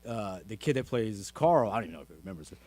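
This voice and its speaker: A man's voice: expressive, rapid-fire, crowd noise. A young man, hosting a podcast in casual, rambling, jokey chat. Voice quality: deep voice. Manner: rambling, jokey, many fillers. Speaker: podcast host.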